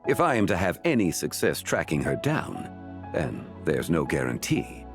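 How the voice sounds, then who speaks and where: A man playing a calm, learned ancient sage in a fantasy video game, speaking formally and deliberately in measured phrases. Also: over music, heavily acted. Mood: composed, measured. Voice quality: deep, measured. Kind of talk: scripted video game dialogue.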